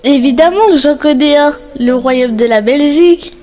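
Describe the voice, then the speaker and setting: A woman playing a curious young schoolgirl in a cartoon, with a very high voice. She sounds confident and inquisitive in a simple delivery, over faint music. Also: moderate pace, warm tone, close to an everyday voice. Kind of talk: children's animated film dialogue.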